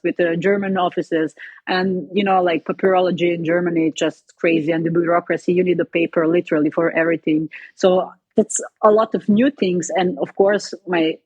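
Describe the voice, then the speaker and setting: A woman's voice: lowish voice, darkish tone, husky, no echo, highs cut off. A young woman from Serbia with a Serbian accent, chatting casually in a podcast interview and filling her talk with "you know" and "like.". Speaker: guest, footballer and coffee entrepreneur.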